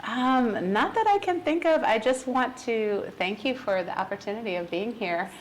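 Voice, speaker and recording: A woman aged fifty-nine chatting casually as an interview guest, talkative and quick to laugh. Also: fairly high pitch, lively intonation, slight background noise. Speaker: podcast guest, business owner.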